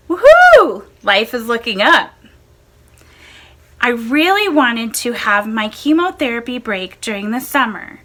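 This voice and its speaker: A woman reading a first-person personal essay aloud in a measured, expressive way. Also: high voice.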